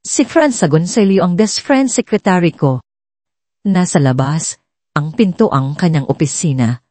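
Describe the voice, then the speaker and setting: A woman narrator plays a rich Filipino male boss in a story drama. He is bossy and brusque, giving orders in a mellow, lowish voice with a very dry sound. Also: expressive, moderate pace, no echo. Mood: businesslike, commanding. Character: curt, commanding male billionaire company boss.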